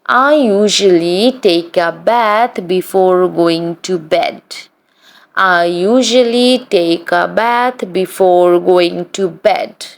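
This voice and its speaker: A woman reading short practice sentences aloud for learners, in an even, deliberate read-aloud style that says each sentence twice. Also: lowish voice, gravelly. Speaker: English practice reader.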